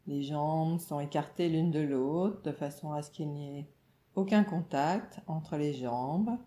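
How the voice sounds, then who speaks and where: A woman meditation teacher leading a guided relaxation in a calm, soothing, instructional way, with short phrases and pauses. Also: low voice. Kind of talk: guided yoga nidra relaxation narration. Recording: roomy, echoing voice recording.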